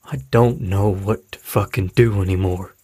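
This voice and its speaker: An actor playing a troubled, frustrated middle-aged father in a naturalistic audio drama, speaking haltingly and downcast. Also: deep voice, fairly even intonation, warm tone, breathy edge, no room sound. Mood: dejected, frustrated. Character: weary, troubled, frustrated widowed father of a teenager.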